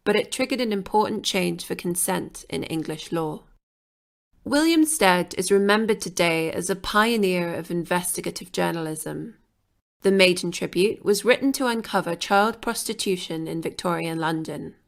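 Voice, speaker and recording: A woman reading scripted documentary narration for a podcast in a measured, even way. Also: on the low side, creaky.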